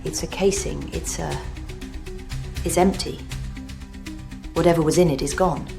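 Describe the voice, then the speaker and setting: An actress playing a brisk, wry woman in charge of a secret archive, clipped and dry, in an audio drama. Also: low voice, fairly even intonation, gravelly. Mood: alarmed. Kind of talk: scripted audio drama acting. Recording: music under the voice.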